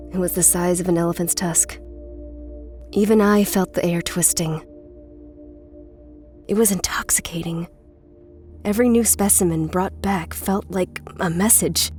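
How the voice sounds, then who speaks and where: A woman playing a guarded, intense runaway from a cult family in a horror audio drama, speaking with deliberate care. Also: on the low side, a little mellow, natural voice. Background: music.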